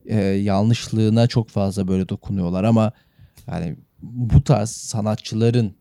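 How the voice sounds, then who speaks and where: Low, very mellow man chatting casually. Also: fairly even intonation, a little slow, gravelly, dry recording, studio quality. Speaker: podcast co-host. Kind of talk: casual, joking podcast chat.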